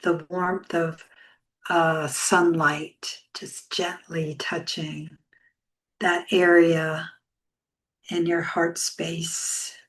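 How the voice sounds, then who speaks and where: Slow, gentle woman guiding meditation. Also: fairly low pitch, little pitch movement, low-quality recording. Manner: soft, gentle, soothing, unhurried with long pauses. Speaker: yoga meditation teacher. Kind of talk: guided meditation.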